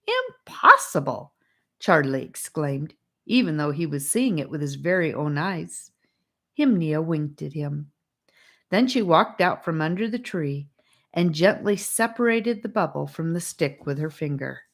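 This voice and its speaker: Woman narrator, low voice, unhurried storybook read. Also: lively intonation. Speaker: audiobook narrator.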